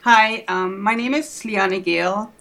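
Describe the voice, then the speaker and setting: Woman, 50s, German accent, activist guest; mid-pitched, halting, fillers throughout. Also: bright, forceful tone. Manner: hesitant, many fillers and restarts. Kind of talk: casual spoken perspective.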